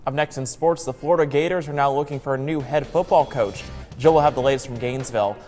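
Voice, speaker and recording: A man's voice: medium pitch, fast talker, a little mellow, soft background music. American male news anchor in a reverberant room.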